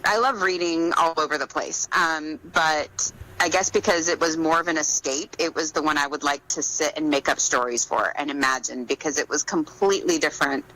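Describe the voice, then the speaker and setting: A woman's voice: sits low, fairly quick, pushed and bright, low-quality recording. A woman giving conversational answers as a guest author in an interview.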